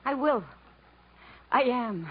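Through a dull, slightly noisy vintage radio recording comes a weary woman on the run. Her voice sits fairly high, and she talks with wistful, wry fatalism in the theatrical style of fifties radio drama. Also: expressive, slow pace. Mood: wistful, resigned. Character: worn-down, bitter, fatalistic woman fleeing through the jungle. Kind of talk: 1950s American radio drama acting. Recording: muffled, hissy 1950s radio broadcast.

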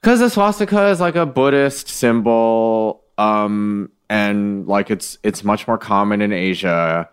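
A man's voice: lowish voice, unhurried, few pauses, clear, resonant tone. A man co-hosting a casual comedy podcast, rambling and questioning himself with many fillers. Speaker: podcast co-host.

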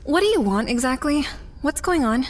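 A woman's voice: high-pitched, expressive, moderate pace, background noise. An actress playing a bitter, guarded working mother in a sci-fi audio drama, blunt, sardonic and suspicious. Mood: wary. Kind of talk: audio fiction acting.

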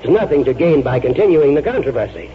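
An actor playing a genteel, pragmatic small-town gentleman in a 1940s radio drama, polite and persuasive. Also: medium pitch, big pitch swings, quick pace, lightly acted. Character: genteel, pragmatic old friend, small-town library advocate. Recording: thin, narrow-band 1940s radio recording.

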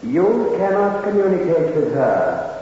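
An actor playing a stern, unseen keeper of lost souls who speaks slowly and solemnly in an old radio horror drama. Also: male voice, high-pitched, very animated, dark tone, a little hiss or hum. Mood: stern, forbidding. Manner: slow, solemn, forbidding pronouncements. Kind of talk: 1960s radio horror acting. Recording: cavernous reverb, muffled old radio recording.

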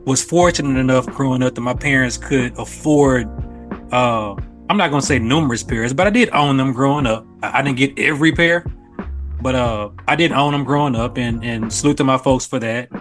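A man from Arkansas with an American accent speaks at a medium pitch. He is a podcast guest, talking in a casual, reflective, conversational way. Music plays faintly behind his voice. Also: fairly quick, fairly bright tone. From Little Rock, Arkansas, US.

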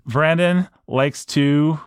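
A man's voice: a little high, drawn-out words, clean tone, no echo, studio quality. A man hosting a podcast in casual chat, joking around in a playful way. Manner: jokey, playful, animated. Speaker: podcast host.

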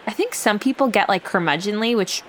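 A woman's voice: medium pitch, lively intonation, a little hiss or hum. Laughing woman in her thirties, podcast co-host. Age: 30s. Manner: jokey, laughs often, quick back-channel replies. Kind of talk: casual science podcast chat.

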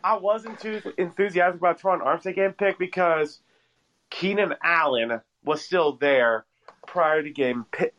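A man's voice: fairly high pitch, normal speed, a bit bright, dull audio. A man talking casually as a fan guest on a sports call-in show.

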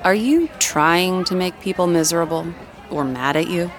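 A woman's voice: fairly low pitch, normal speed, faint music behind, some chatter behind, natural voice. An actress playing a guarded, defiant female project manager in naturalistic audio drama, with a terse, pointed way of talking. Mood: wary.

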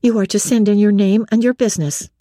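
A woman's voice: mid-range voice, steady tone, fast, warm tone, no echo, clean studio sound, close to an everyday voice. A woman voicing a plain-spoken female servant in a classic novel, matter-of-fact in manner. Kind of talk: dramatic audiobook reading of classic literature.

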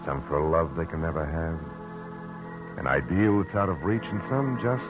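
An actor playing a weary hard-boiled private eye, narrating in low, reflective first person in a 1940s radio drama. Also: male voice, expressive, moderate pace. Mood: brooding. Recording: old radio broadcast with music.

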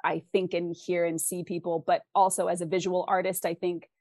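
A woman's voice: on the low side, flat delivery, fairly quick, a little mellow, no room sound, studio quality. A woman speaking conversationally as an interview guest, explaining writing craft articulately with examples. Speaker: interview guest, fiction author.

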